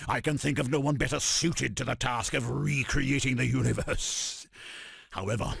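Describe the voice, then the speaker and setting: An actor playing an arrogant armored supervillain tyrant in a video game, declaiming in a pompous, commanding, gravelly voice. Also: male voice, mid-range voice, fairly even intonation, normal speed, fairly bright tone, no echo, clean studio sound, heavily acted. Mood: scornful grandeur. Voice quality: gravelly, rasping. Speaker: video game villain character. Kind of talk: theatrical video game villain voice clips.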